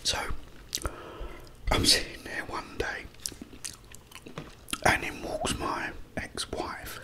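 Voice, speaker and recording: A middle-aged man tells personal stories in an ASMR style. He speaks in a hushed whisper, very slowly and haltingly, leaving pauses between short phrases. His delivery is unhurried throughout. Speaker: ASMR creator.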